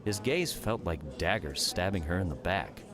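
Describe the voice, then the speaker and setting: A man's voice: low-pitched, animated, moderate pace, music in the background. A man reading scripted third-person story narration as an audiobook narrator.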